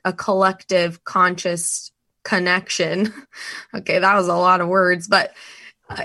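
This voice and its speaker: A woman with a raspy voice, chatting enthusiastically as a podcast guest and laughing often. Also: on the low side, a bit bright. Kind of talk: casual podcast chat.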